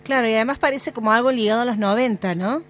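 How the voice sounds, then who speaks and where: Higher-voiced co-host woman. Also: fast talker. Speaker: radio co-host. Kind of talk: casual radio chat.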